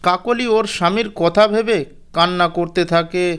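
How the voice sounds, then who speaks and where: A man's voice: high voice, normal speed, a bit bright, clean tone. A man narrating a scripted cartoon fairy tale as its storyteller.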